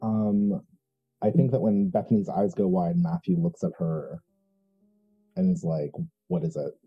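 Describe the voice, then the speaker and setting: A man playing a tabletop roleplaying game, chatting and narrating his character in a halting, self-correcting way. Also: sits low, fairly even intonation, breathy edge, faint music behind. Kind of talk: casual chat and character narration. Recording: slightly dull, muffled audio.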